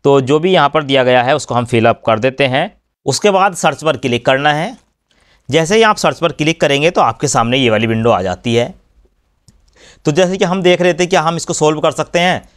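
A man's voice: fairly high pitch, animated, a little fast. A man hosting a screen-recorded tutorial, explaining step by step and often addressing viewers as friends. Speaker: YouTube channel host explaining refunds.

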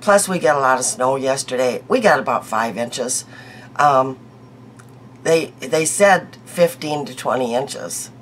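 A middle-aged woman vlogging her daily makeup in a small bedroom, chatty and homey, her voice hoarse from a cold. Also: a bass voice, expressive, a little slow, fairly bright tone, slight background noise. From United States. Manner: chatty, homey, laughs easily, trails off. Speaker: beauty vlogger. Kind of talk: casual daily makeup chat to camera.

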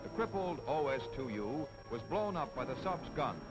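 A man's voice: mid-range voice, sing-song, moderate pace, loud music behind the voice, poor audio. An American man narrating a scripted 1940s military newsreel in a formal, declamatory announcer style on an old film soundtrack.

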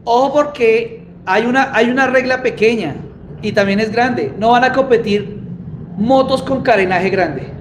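A man's voice: very high voice, unhurried. A man in his thirties with a Colombian accent, hosting a casual live-stream chat in an informal, emphatic way. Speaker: host. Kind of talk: casual live-stream talk, announcing a championship. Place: small motorbike workshop, indoors. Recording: echoey room with clear background noise.

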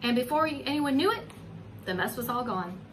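A woman reads a picture book to small children in a high, very lively voice that swings up and down. She stretches key words and breaks off to ask coaxing questions like a preschool teacher. The home recording has clear background noise. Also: moderate pace. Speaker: pre-kindergarten teacher reading a storybook aloud. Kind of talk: animated children's story read-aloud with asides to young listeners.

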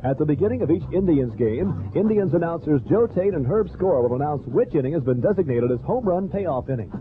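A man's voice: a little high, expressive, a little fast. An American man reading a scripted contest promo as a 1970s radio station announcer. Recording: tinny lo-fi 1970s AM radio recording. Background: music bed.

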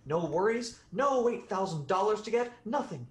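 A man playing a gentle, very old angel in a radio drama, earnest, innocent and fussily polite. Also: sits high, animated, normal speed, roomy sound. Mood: earnest, delighted.

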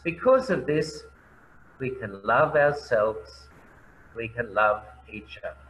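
An Australian man, a priest, giving a gentle, reverent sermon. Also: medium pitch, animated, slow pace. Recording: echoey room, faint background noise.

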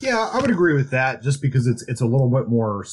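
A man's voice: mid-range voice, big pitch swings, fast, husky. A man with a mid-range voice talks casually about books as a podcast co-host. He is conversational and tends to think aloud, feeling his way through each point. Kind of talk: casual book discussion.

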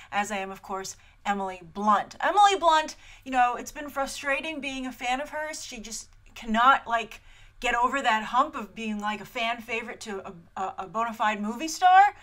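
30s woman host, chatty reaction review, bright and slightly high, animated, webcam in a small room. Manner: animated, chatty, laughs often. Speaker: movie trailer reviewer host. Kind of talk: casual trailer reaction.

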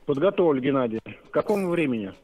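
A man's voice: mid-range voice, big pitch swings, quick pace, a little mellow. A man working as a car salesman, speaking politely and explaining in a businesslike way over a narrow phone line. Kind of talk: customer phone call. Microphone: telephone.

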